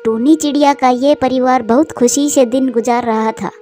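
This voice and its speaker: A woman's voice: high voice, fairly even intonation, normal speed, dark tone, faint music behind. A woman narrator reading a scripted children's moral story for a cartoon.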